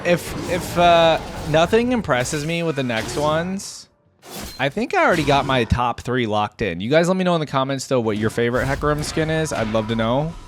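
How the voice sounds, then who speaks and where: Man with lively, swooping casual delivery. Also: a little high, normal speed, music in the background. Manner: informal, exclamatory, thinking aloud. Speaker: reaction video host. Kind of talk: casual off-the-cuff reaction commentary.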